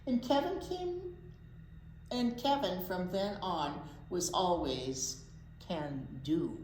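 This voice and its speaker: A woman reading a picture book aloud to young children as a storytime teacher, expressively, with deliberate pauses and little asides. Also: fairly low pitch, lively intonation, a little mellow. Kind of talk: animated picture book read-aloud for preschoolers. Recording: roomy echo, faint background noise.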